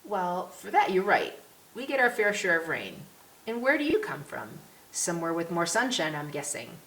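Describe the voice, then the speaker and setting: A woman's voice: lowish voice, very animated, normal speed, a bit bright. A woman voicing a genial English policeman in an audiobook, kindly, folksy and teasing with children. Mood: jovial teasing. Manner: jovial, avuncular, folksy. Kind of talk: audiobook character voice. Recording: roomy echo with clear hiss.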